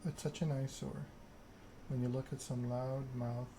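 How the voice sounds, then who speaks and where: A man voicing a proud, scheming vampire in a measured, self-important monologue, read aloud in an ASMR style from a game. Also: mid-range voice, little pitch movement, noisy background, lightly acted. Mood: bitter, resolute. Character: proud, scheming vampire aristocrat telling their story.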